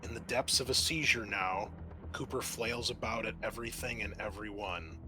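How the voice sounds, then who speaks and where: A man's voice: on the low side, normal speed, music in the background. A man acting as game master, narrating a horror tabletop roleplaying game.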